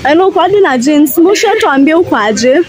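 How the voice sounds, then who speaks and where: A woman's voice: high voice, expressive, brisk, crowd noise. A woman with a Kenyan accent chatting casually outdoors in a mix of English and Swahili. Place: outdoors at a forest campsite.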